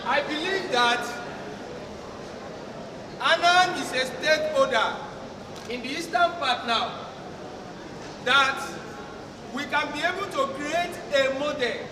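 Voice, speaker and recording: Loud earnest Nigerian man, echoey hall. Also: very high-pitched. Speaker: accountant asking a question. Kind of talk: conference question. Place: large conference hall. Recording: echoey hall, far from the mic, noisy.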